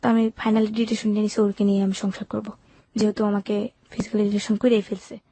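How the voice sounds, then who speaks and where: Young female interview guest, Bangladeshi accent, candid colloquial storytelling, quick pace, mid pitch, dry sound. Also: flat delivery, warm tone, slightly rough, no echo. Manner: colloquial, recounts events with quoted dialogue. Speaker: interview guest telling her life story. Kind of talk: candid personal storytelling in conversation.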